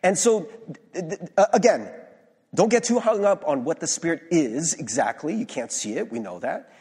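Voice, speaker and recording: A male pastor giving a conversational sermon in a church, hoarse-voiced, informal and self-deprecating, with many fillers and restarts. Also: a little high, lively intonation, normal speed, a little mellow. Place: church sanctuary. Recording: long reverb of a large hall.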